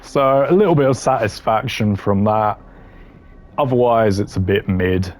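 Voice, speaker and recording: A man doing casual gaming commentary. His voice is fairly low. He hands out opinions bluntly, dismissive and drily humorous. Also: unhurried. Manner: blunt, dismissive, dry humour. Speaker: gaming content creator ranking voice lines.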